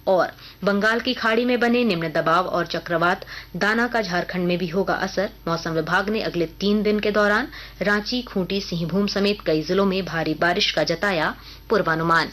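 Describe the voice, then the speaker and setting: A woman news reader delivering a scripted regional radio bulletin in a formal, measured announcing manner. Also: on the low side, fairly bright tone.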